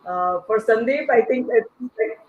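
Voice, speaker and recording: A host moderating an online panel discussion without a script, in halting, stop-start phrases. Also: medium pitch, expressive. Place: online video call. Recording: muffled, echoey online call with noise.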